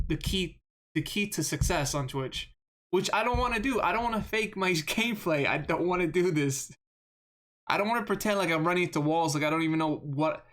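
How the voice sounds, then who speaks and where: A game streamer with a monotone voice, rambling through live gameplay commentary, self-deprecating and often repeating words. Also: mid-range voice.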